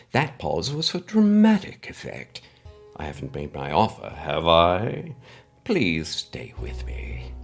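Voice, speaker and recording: A man acts out a mysterious, self-important male benefactor in a scripted comedy. The voice is low and swings widely in pitch. He speaks in wordy, theatrical sentences full of dramatic pauses, with music playing underneath. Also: full character voice. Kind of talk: scripted comic monologue.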